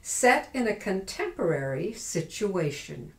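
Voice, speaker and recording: A woman's voice: mid-range voice, very animated, unhurried. A woman reading a scripted introduction to a stage play as its announcer. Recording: echoey hall.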